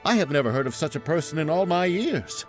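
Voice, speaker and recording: High, swooping, melodramatic townsman played by an actor. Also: animated, brisk, over music, lightly acted. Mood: dramatic speculation. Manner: theatrical, repeats himself. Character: excitable, pondering, melodramatic townsman. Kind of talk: video game cutscene voice acting.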